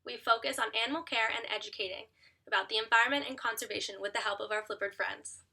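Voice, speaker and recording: A woman's voice: fairly high pitch, fast, vocal fry, sharp tone, slightly rough. A young adult woman giving a scripted educational show presentation, speaking as an upbeat presenter to an audience. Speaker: student giving an audition presentation.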